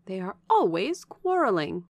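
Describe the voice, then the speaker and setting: A woman voicing a chatty, warm-hearted young married servant woman in a storybook, with a lively, familiar, gossiping manner. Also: mid-range voice, a little slow, vocal fry, no echo, exaggerated voice. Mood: gossipy. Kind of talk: storybook character dialogue.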